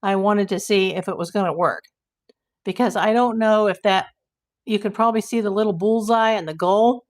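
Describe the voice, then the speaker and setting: A woman hosting a casual livestream, hoarse and losing her voice, rambling in exasperation while she reads viewers' comments. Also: mid-range voice, normal speed. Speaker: YouTube livestream host.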